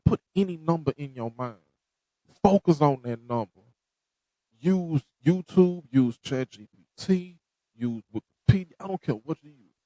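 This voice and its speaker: A man's voice: on the high side, darkish tone, no room sound, rough recording. A man hosting a podcast, giving a direct, motivational talk that repeats its points. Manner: preachy, direct, repeats himself. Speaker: podcast host.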